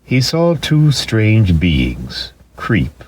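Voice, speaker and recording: A man with a deep voice narrates a storybook in a dramatic style. His delivery swings widely in pitch and lingers on key words, with some faint noise behind him. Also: wildly swooping pitch, very slow, darkish tone.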